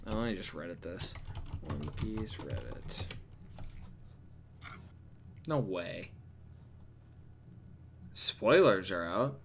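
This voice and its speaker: A man's voice: very animated, extremely slow, pauses often. A man hosting a livestream, chatting casually and swearing freely as he goes. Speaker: streamer hosting a voice-chat livestream. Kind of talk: casual, profane live chat. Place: livestream from a computer setup. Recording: bright home mic with noise, rumble and typing. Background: noise, rumble and keyboard typing.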